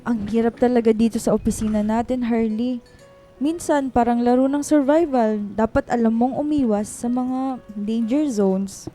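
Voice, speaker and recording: A woman's voice: fairly high pitch, moderate pace, mellow, lightly acted. A Filipino woman playing a frank, supportive office friend in conversational radio drama dialogue. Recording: music bed under dialogue.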